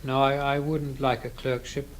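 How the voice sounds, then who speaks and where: An actor playing a withdrawn, stubborn copyist who answers in quiet, curt refusals. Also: male voice, medium pitch, little pitch movement, moderate pace, a little hiss or hum, lightly acted. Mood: detached. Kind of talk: old radio drama acting.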